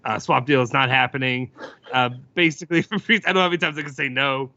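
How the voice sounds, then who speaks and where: Gravelly, bright-voiced man. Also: mid-range voice, quick pace, no room sound, lo-fi sound. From United States. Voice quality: loud voice. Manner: chatty, rambling, many fillers and restarts. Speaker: podcast host. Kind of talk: casual sports chat.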